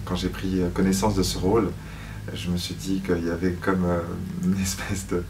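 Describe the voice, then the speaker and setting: A man's voice: low-pitched, little pitch movement, moderate pace, recorded from a distance, noisy background. A man giving reflective interview answers as a guest, hesitant and searching for words. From Switzerland (Lausanne), born in Paris. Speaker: musical theatre actor, interview guest.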